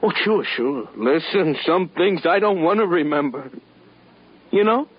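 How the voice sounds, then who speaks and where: An actor playing a nervous, eager-to-please American working-class bar patron in a 1950s radio drama, rambling and repeating himself. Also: male voice, on the high side, lively intonation, measured pace, slightly rough. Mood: nervous, placating. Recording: dull vintage radio recording.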